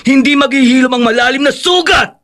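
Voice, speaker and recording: A bitter, high-voiced wounded son. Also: male voice, brisk, pushed and bright. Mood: bitter, anguished. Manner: emphatic, accusing, melodramatic. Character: bitter, wounded grown son rejecting his returned mother. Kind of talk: Filipino radio drama acting. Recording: radio drama with music underneath.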